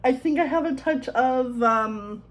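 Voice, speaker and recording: A woman's voice: high-pitched, measured pace. A woman chatting casually as she decorates a planner, her voice a little thick from swollen tonsils, rambling in self-deprecating asides. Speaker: planner vlogger.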